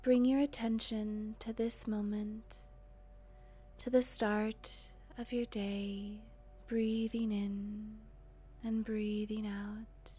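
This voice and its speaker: A woman leading a guided meditation in a gentle, breathy voice with long, unhurried pauses. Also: medium pitch, little pitch movement. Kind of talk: guided meditation, soothing narration.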